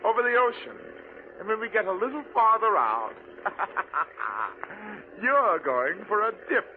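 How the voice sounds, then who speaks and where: High-pitched, theatrical young killer pilot. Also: male voice, big pitch swings, slow, heavily acted. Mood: gloating. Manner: taunting, theatrical. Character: gloating young male murderer pilot, turns panicky. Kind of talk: 1940s radio crime drama acting. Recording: noisy tinny old radio recording, engine drone.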